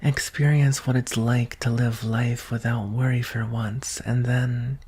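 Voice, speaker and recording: A man performs a young alien prince in an intimate sci-fi ASMR roleplay. The voice is very breathy and often drops to a whisper, moving slowly with hesitant pauses. He sounds gentle, earnest and vulnerable, with little swing in pitch. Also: little pitch movement. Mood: earnest, affectionate.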